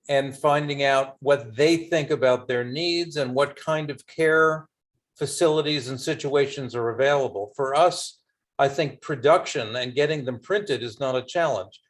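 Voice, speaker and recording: A man speaking in a measured, careful way, advising a volunteer on a video call. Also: medium pitch.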